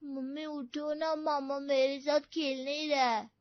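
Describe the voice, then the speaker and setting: A woman voicing a demanding, whiny little boy in a cartoon story, with drawn-out pleading. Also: high-pitched, level tone, dry recording, highs cut off, pitched above the performer's own voice. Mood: calling, complaining.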